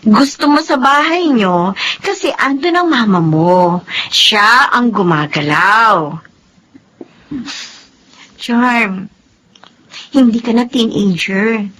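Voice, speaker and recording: A woman's voice: on the high side, animated, takes it slowly, pauses often, a bit bright, full character voice. A woman playing a female friend who scolds and lectures a young wife, in a radio drama. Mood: scolding.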